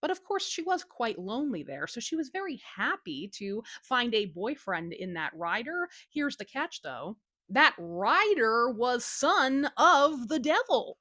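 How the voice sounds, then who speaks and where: A woman's voice: a little high, big pitch swings, unhurried, bright, forceful tone, dry recording, clean studio sound. A woman retelling a folktale as a storyteller, in a casual, cheeky and informal way from a script. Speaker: storyteller, host.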